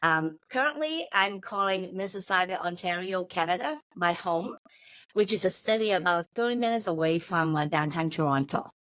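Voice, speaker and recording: A woman with a Hong Kong Chinese accent speaks as an interview guest, telling stories about herself. Her voice sits a little low for a woman, and the sound is dry and close, with very little room in it. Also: lively intonation, moderate pace, fairly bright tone, dry recording, low-quality recording. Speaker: interview guest, technology company chief of staff. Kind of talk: interview answers, personal storytelling.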